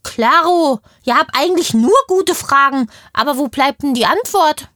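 Little kindergarten girl played by a woman for children's audio play: high voice, curious, cheeky, chatty, close dry sound. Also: expressive, normal speed, fairly bright tone, no room sound. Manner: childlike slang, emphatic, cheeky.

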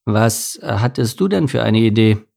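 A man's voice: fairly low pitch, animated, unhurried, gravelly, darkish tone, heavily acted. A man voicing a shifty, sheepish male mouse in a children's story, hesitant and stammering. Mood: sullen.

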